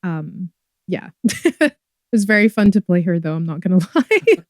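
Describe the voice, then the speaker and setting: A woman's voice: lowish voice, sing-song, measured pace, no room sound, clean studio sound. A young woman from Scotland with a mixed Scottish and Canadian accent, chatting as an actress guest, giggly and full of likes and ums. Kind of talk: casual interview chat.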